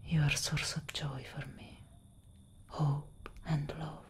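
A young woman playing a tender, protective elder vampire sister in a whispered ASMR roleplay, breathy and solemn, close to the microphone. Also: low-pitched, flat delivery. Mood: tender, affectionate. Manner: slow, intimate, deliberate.

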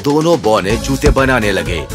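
A man's voice: medium pitch, expressive. A man narrating a scripted children's fairy tale as storyteller. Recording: music under the narration. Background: music.

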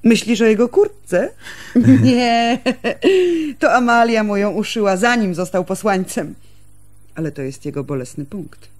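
An actress playing a candid, devoted elder sister from a village in a radio drama, explaining things in a measured, confiding way. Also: female voice, medium pitch, darkish tone, lightly acted. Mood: earnest, explaining.

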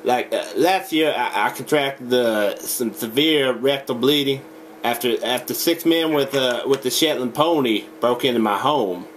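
A young man making a deadpan prank phone call, halting, stammering and rambling as he talks in a home room. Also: mid-range voice. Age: young adult. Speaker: prank caller. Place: small indoor home room. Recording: noisy webcam recording.

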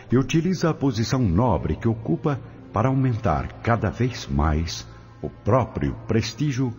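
A man narrating a radio drama in a dramatic, measured storytelling manner. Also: low-pitched, darkish tone.

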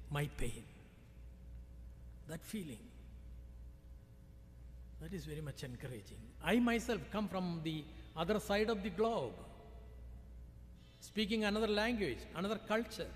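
Indian accented priest doing a sermon, earnest and emphatic, faint background hiss. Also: male voice, sits high. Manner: earnest, emphatic rises on key phrases. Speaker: visiting priest. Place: large church, at the pulpit. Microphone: pulpit microphone. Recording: reverberant church from a far camera.

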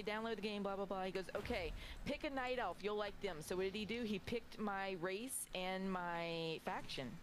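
Woman in her forties, game streamer, casual animated storytelling, medium pitch, clear background game noise. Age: 40s. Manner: animated, acts out remembered dialogue. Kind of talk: casual storytelling chat while gaming. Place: indoor home streaming room.

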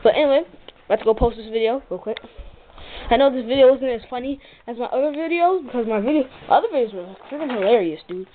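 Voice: mid-range voice, quick pace. Young casual vlogger, tinny sound. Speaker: amateur video maker. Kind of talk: casual rambling vlog chat. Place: living room at home. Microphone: handheld camera mic. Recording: tinny handheld camera, some noise.

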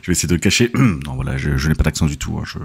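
Tired fast-talking Alsatian gamer. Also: male voice, a bass voice, animated, creaky, darkish tone, breathy edge. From Alsace. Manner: chatty, joking, many fillers. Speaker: gaming channel host. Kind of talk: casual let's-play commentary. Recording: game music faintly behind.

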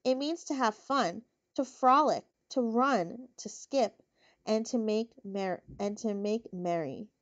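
A woman's voice: a little high, slow pace, highs cut off. A woman voicing a lonely young male pig who pleads earnestly, in a storybook reading. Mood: plaintive. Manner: plaintive, earnest.

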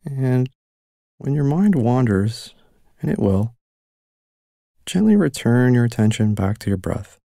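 A man leading a guided mindfulness meditation with slow, gentle and soothing instructions. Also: mid-range voice, sing-song, gravelly, no room sound, studio quality.

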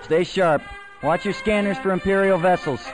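A man plays a rebel squadron pilot in a space game. His voice sits fairly high and he talks in brisk, businesslike radio calls. Music runs behind him. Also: lightly acted, poor audio. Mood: alert. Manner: brisk, clipped radio-call delivery. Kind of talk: video game cutscene acting.

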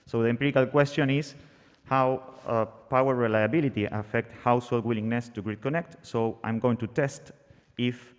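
A young man presenting his research at a conference, halting and earnest, with frequent restarts. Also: mid-range voice, steady tone. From Argentina. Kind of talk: academic talk. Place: conference hall, seated at a panel table, masked. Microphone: desk microphone on a stand. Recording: long reverb of a large hall.

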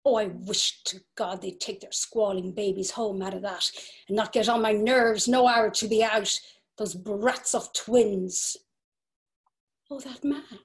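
Actress for a dreamy, romantic young Irish woman; higher voice, breathy whispers. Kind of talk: dramatic reading of a novel. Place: home, video call. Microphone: video call.

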